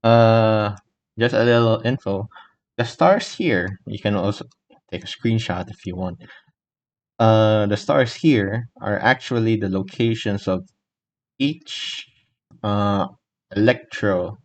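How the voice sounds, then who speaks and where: A man's voice: lowish voice, slow pace. A man giving casual, unscripted gameplay commentary in a hesitant, rambling, easily distracted way. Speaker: gaming video creator. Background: faint game music.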